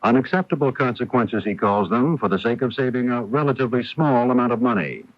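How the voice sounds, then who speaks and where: A man's voice: mid-range voice, fairly quick. An American man anchoring a scripted radio newscast, on an old broadcast recording. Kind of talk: scripted news narration. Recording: dull lo-fi 1980s radio broadcast.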